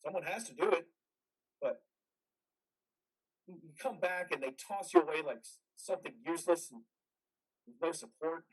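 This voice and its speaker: An actor playing a traumatized, bitter and weary combat veteran in a modern stage play reading, with a husky voice. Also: male voice, a little high, animated, moderate pace, pauses often, poor audio. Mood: bitter, raw.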